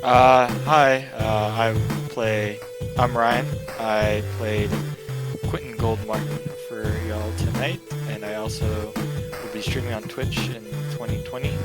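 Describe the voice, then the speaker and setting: Slow, low-voiced man over loud music. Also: flat delivery, poor audio. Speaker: podcast player. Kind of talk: casual chat.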